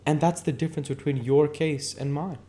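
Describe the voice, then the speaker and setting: A man's voice: on the high side, fairly even intonation, a little fast, mellow, pitched above the performer's own voice, close to an everyday voice. A man voicing a scornful, bitter married woman in an audiobook, emphatic and indignant. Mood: pointed. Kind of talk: audiobook character dialogue.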